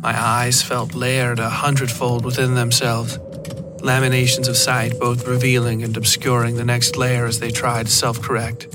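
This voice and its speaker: A man narrates scripted horror fiction in a medium-pitched voice. His delivery is deliberate and literary, like a storyteller reading prose aloud. Prominent music runs behind the words. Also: monotone. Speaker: podcast narrator.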